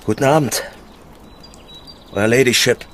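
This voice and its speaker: A man's voice: mid-range voice, measured pace, a little hiss or hum, lightly acted. An actor playing a plain gamekeeper in a radio drama, clipped, guarded and deferential to his betters. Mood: curt, deferential. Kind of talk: German radio play acting.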